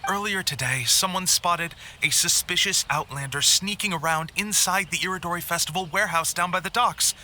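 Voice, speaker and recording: Earnest male publishing clerk, high and very bright, deferential game dialogue. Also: a little hiss or hum. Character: flustered, courteous male publishing house editor. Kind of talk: video game voice acting.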